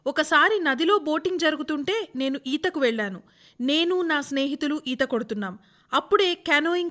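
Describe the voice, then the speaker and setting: A woman's voice: sits high, a bit bright. A woman voicing a respectful, eager young female athlete in a scripted radio interview, with an earnest, deferential way of answering.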